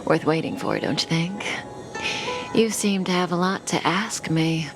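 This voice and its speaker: An actress playing a composed, enigmatic young woman outlaw in a video game, unhurried and coolly teasing with soft phrase endings. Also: lowish voice. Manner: unhurried, deliberate, coyly knowing. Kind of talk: video game character dialogue. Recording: studio voice over game music.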